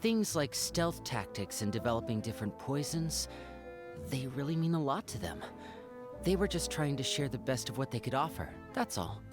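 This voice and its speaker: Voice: mid-range voice, lively intonation, moderate pace, over music, close to an everyday voice. An actor voicing a friendly, charming young stage magician in a game.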